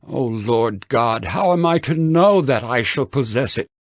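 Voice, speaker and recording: A man's voice: mid-range voice, lively intonation, unhurried, dry recording, studio quality, natural voice. An actor playing an earnest biblical patriarch who pleads with his Lord in a dramatized Bible reading. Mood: earnest pleading.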